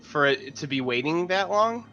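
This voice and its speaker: A man's voice: a little high, animated, normal speed, pushed and bright, dull audio, a little hiss or hum. A man playing a tabletop game, chatting casually and asking questions.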